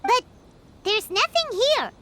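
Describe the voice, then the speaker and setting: A woman voicing a tiny, childlike, excitable fairy companion in a video game, with a squeaky voice. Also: slow, background noise, exaggerated voice. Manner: refers to self in third person, exclamatory. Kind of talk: video game character acting. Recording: game music behind the voice.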